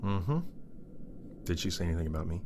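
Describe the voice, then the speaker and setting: A man's voice: low voice, steady tone, brisk, noisy background. A man playing a dry-humoured, hard-working young father and husband in a naturalistic audio drama. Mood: relaxed, wry.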